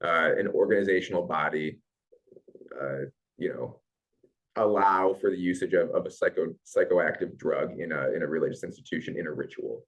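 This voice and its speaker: Deep-voiced man making an emphatic point. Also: moderate pace, highs cut off. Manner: emphatic, repeats his point to drive it home. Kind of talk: conversational discussion.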